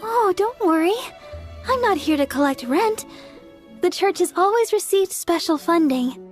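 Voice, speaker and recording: An actress playing a sweet, devout, polite young deaconess in a video game. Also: female voice, very high-pitched, lively intonation, unhurried, soft background music. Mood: gentle. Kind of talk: video game character acting.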